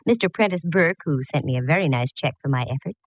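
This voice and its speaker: A woman's voice: low-pitched, quick pace, slightly rough, dry recording. An actress playing a plucky, wry female private eye, narrating in the style of a 1940s radio drama. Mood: wry, playful. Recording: dull, dry vintage radio recording.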